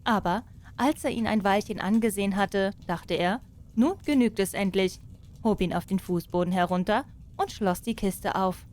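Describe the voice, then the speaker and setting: A woman narrating a fairy tale as an expressive audiobook storyteller. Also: mid-range voice, moderate pace, few pauses.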